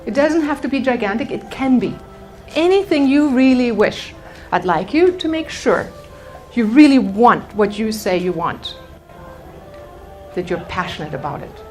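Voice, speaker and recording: A woman with a fairly high voice speaks as a workshop teacher. She tells anecdotes in a storytelling manner and gives direct, motivational guidance to her listeners, with some music in the recording. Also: measured pace. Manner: animated storytelling, addressing the audience directly. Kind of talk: motivational workshop talk with anecdotes and guided exercise.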